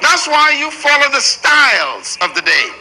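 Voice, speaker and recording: A man's voice: very high voice, very animated, moderate pace, sharp tone, poor audio. A man preaching a sermon to a room full of people, emphatic and rhythmic. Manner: emphatic, preaching to a live crowd. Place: large room with an audience.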